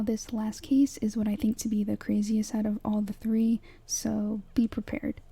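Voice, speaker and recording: A young woman narrates in a hushed, breathy whisper with a very mellow, muted tone. The voice is husky and lightly crackles as she delivers a scripted, measured horror breakdown, much like ASMR storytelling. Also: a little high.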